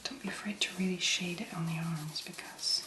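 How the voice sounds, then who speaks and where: A woman speaking very softly and breathily, in a gentle, unhurried ASMR make-up tutorial style. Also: on the low side, fairly even intonation, echoey room. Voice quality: breathy, whispery. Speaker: ASMR video creator.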